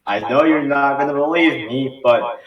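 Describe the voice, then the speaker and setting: A man's voice: medium pitch, normal speed, highs cut off, voice pushed up, lightly acted. A man voicing a panicked person calling mayday in an amateur cartoon table read. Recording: echoey room, distant mic, background noise.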